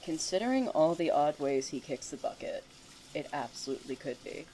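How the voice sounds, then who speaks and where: A woman's voice: deep voice, animated, a little fast, warm tone, a little hiss or hum, low-quality recording. A woman playing a smug, cryptic student who mocks and needles theatrically in an audio drama. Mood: mocking.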